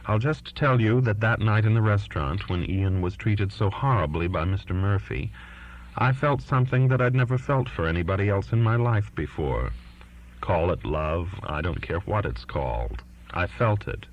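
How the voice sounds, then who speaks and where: Earnest young daughter, read by a low-voiced man, lo-fi. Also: expressive, moderate pace, a little hiss or hum. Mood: defiant. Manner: pleading, insistent. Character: earnest, defiant twenty-year-old daughter writing to her father. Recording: dull lo-fi recording.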